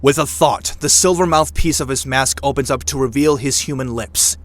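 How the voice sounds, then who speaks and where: A man's voice: mid-range voice, normal speed, close to an everyday voice. A man narrating an audio drama in a scripted, dramatic storytelling style.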